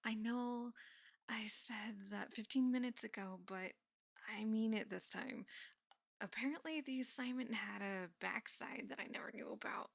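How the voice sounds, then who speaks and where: A young woman playing a teasing, affectionate college best friend in an intimate roleplay audio, speaking softly and often slipping into whispers. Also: fairly high pitch, moderate pace, gravelly, dry recording. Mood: distracted, apologetic. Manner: soft, often dropping into whispers, sighing. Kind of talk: intimate roleplay audio acting, casual and soft.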